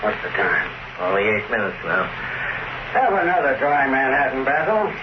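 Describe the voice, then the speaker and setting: A man's voice: mid-range voice, sing-song, moderate pace, close to an everyday voice. An actor playing a wary man who slyly humors a dangerous drunk, probing with feigned casualness, in an old radio drama. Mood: coaxing, tense. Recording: noisy muffled old radio broadcast recording.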